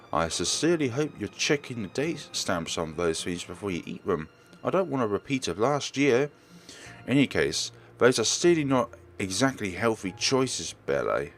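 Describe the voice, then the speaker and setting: A man's voice: lowish voice, expressive, normal speed. A kind, nagging young woman teacher from a visual novel , read by a man. The delivery swings upward with fond scolding and motherly fuss. Faint music sits behind it. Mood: nagging concern. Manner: scolding affectionately.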